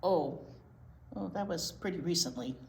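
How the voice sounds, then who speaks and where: A woman's voice: fairly low pitch, expressive, moderate pace, vocal fry. A woman voicing a stubborn, grubby pigeon who protests defensively against everything, in a children's picture book. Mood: indignant protesting. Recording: roomy echo, noticeable room noise.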